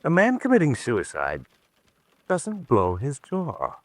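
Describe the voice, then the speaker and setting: An actor playing a shrewd, weary gentleman investigator in an old-fashioned radio drama, speaking in a clipped, brusque way. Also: medium pitch, wildly swooping pitch, slow pace, no echo, exaggerated voice. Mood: intrigued.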